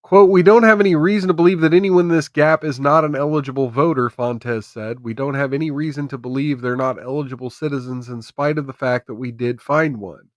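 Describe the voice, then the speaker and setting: A man's voice: mid-range voice, a little fast, hardly any pauses, no room sound, lo-fi sound. A man hosting a live stream with casual, irreverent news commentary, sometimes reading articles aloud in an informal way.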